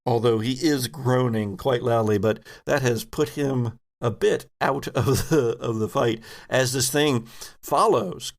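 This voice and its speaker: Slow, gravelly-edged man as game master. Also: medium pitch. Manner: deliberate, descriptive storytelling. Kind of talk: improvised narration of a tabletop game.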